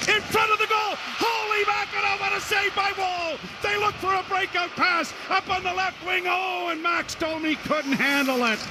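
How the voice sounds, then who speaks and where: Male radio hockey announcer, very high voice, excitable and clipped, over noisy broadcast sound. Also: moderate pace, hardly any pauses, shouting. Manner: excitable, clipped running description. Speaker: play-by-play announcer. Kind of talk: live radio hockey commentary.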